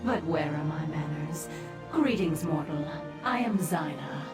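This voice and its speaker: Echoing, low-voiced demon woman. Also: lively intonation. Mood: composed, commanding. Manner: formal, measured, imperious. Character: composed female Dremora demon offering dangerous sanctuary. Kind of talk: fantasy game acting. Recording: echoing reverberant voice over music.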